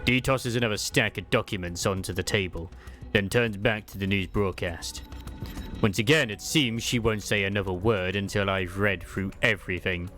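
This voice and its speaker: A man's voice: fairly low pitch, moderate pace, pushed and bright. A man reading a visual novel game's story aloud and adding casual comments as a streamer. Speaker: streamer dubbing a game story. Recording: music behind the voice.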